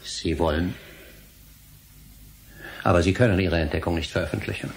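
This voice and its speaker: A troubled middle-aged professor in a radio drama. His voice is fairly low and creaky, his delivery formal and intense, over faint noise and ambience. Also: normal speed. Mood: contrite, anxious. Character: troubled middle-aged history professor, emotional, contrite husband. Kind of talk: German radio play acting.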